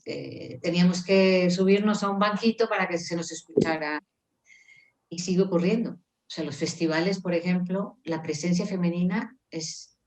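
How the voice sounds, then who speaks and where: A woman around sixty with a lowish voice speaks thoughtfully as an interview guest over a video call. She is earnest and pauses to restart her phrases. She grew up in Mexico. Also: darkish tone. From Mexico (grew up in Puebla, born in Colombia). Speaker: guest, musician and poet. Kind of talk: reflective conversational interview.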